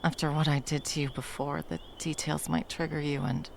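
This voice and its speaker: A woman playing a protective, tender monster hunter in an intimate ASMR roleplay, speaking haltingly and breathily, often dropping toward a whisper. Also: sits low, level tone, creaky, slight background noise. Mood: reluctant, caring. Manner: halting, coaxing, speaking to a beloved. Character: wandering female monster hunter, fiercely protective, tender lover.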